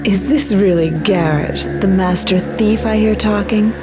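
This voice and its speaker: An actress playing a solemn, commanding leader of secret agents in a video game, speaking in a measured, formal way. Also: female voice, mid-range voice, close to an everyday voice. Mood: stern, commanding. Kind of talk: dramatic video game cutscene dialogue. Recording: background music under the voice.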